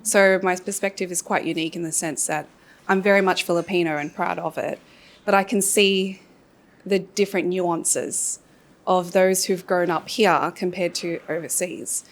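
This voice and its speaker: A woman's voice: fairly low pitch, steady tone, moderate pace. A woman, thoughtfully sharing her view in a group Q&A. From Australia. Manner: thoughtful, reflective. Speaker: workshop participant.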